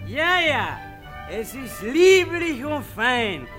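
A man's voice: very high-pitched, very animated, drawn-out words, full character voice. An actor playing a drunken, boisterous journeyman in a radio play, bawling pompously and half singing. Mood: mock-solemn preaching. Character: drunken, boisterous journeyman mock-preaching in a tavern. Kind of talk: German radio play acting. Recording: loud music and rowdy crowd behind.

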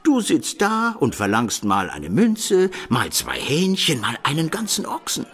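A shrewd medieval townsman in a radio drama. His slightly high voice swings widely as he explains emphatically and makes sure he is followed, with faint music behind. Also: wildly swooping pitch, unhurried, a bit bright, breathy edge, full character voice. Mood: shrewd, explaining. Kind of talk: radio play acting.

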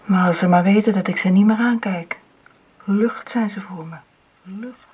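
A woman's voice: medium pitch, moderate pace, background noise, close to an everyday voice. An actress playing an indignant, gossiping neighbour woman in a radio play, scandalised and scornful. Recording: muffled narrowband old radio play recording.

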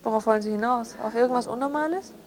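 Radio drama actress: unrepentant female killer, higher voice, firm, plain, defiant. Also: very fast, close to an everyday voice. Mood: defensive. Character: defiant daughter, unrepentant killer devoted to her father. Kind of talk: naturalistic interrogation-like radio drama.

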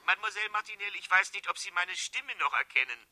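An actor playing a smug, greedy blackmailer with suave, mockingly courteous manners in a 1970s radio play. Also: male voice, high voice, animated, fast talker, sharp tone, exaggerated voice. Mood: mock-apologetic. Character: smug, mock-polite male blackmailer, coolly greedy. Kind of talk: 1970s German radio drama acting.

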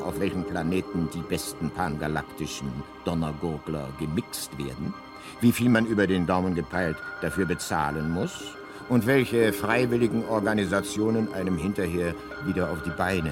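Low-voiced deadpan narrator over music, a man. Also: lightly acted. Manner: deadpan, measured. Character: talking guidebook narrator, male, urbane, deadpan. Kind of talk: scripted radio play narration.

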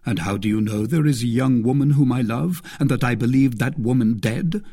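A man's voice: medium pitch, a little fast, mellow, husky. A man voicing a commanding young swordsman of twenty, stern and imperious, in an audiobook. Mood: threatening. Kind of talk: dramatised audiobook character voice.

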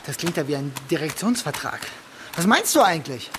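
A man's voice: on the high side, very animated, brisk, background noise. An actor playing a proud, irritable man in a radio play, speaking and narrating in a wordy, dry, articulate way. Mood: suspicious. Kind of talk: radio play acting and first-person narration.

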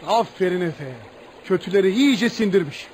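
An actor in a radio play voicing a curious, approving gentleman who prompts a storyteller with short questions and exclamations. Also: high voice, animated, normal speed. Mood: intrigued, approving. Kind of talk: radio theatre acting. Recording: noisy radio drama recording.

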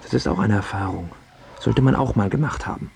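An actor playing an ailing, self-pitying failed pop singer who pleads and justifies himself in a radio drama. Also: male voice, lowish voice, a little fast, creaky, darkish tone, a little hiss or hum. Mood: pleading.

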